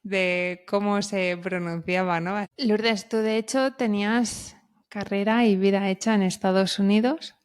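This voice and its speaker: A woman's voice: mid-range voice, steady tone, a little slow, clean tone. A woman hosting a podcast interview who greets her guest and asks simple, friendly questions. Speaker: podcast host.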